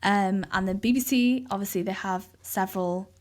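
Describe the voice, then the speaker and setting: Welsh woman, 20s, native Welsh speaker; relaxed, talkative podcast guest. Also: medium pitch, moderate pace. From Cardiff, Wales. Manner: chatty, frequent ums. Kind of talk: casual interview chat.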